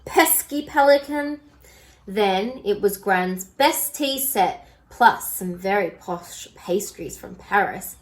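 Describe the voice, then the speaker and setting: A woman storyteller reading a children's picture book aloud in an expressive style. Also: mid-range voice, slow pace, hardly any pauses, low-quality recording.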